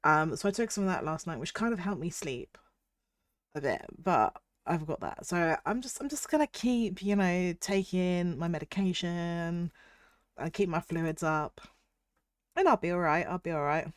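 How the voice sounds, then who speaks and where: A young British woman streaming as a VTuber, chatting casually and reading chat aloud while her voice is husky from a cold. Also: on the low side, normal speed, dry recording, studio quality. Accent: British English. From United Kingdom.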